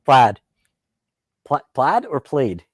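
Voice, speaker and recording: Male live stream host, native Russian speaker, rambling casual chat, mid-pitched, dry recording. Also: very animated, extremely slow, dry recording. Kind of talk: casual Q&A chat about guns.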